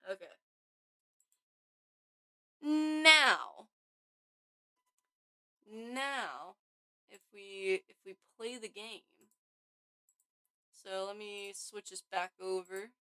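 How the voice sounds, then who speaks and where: A young woman talks through her game code live to her chat, slow and unhurried but with a bright, edgy tone. Her voice sounds worn from too much talking this week, and she rambles, trails off and breaks into her own sentences. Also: medium pitch, smooth, low-quality recording. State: voice tired from overuse. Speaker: game developer streamer. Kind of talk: casual live-stream chat while coding.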